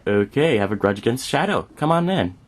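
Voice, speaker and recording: A man's voice: medium pitch, expressive, brisk, close to an everyday voice. A man performing an amateur comedic character skit in a theatrical, exclamatory manner.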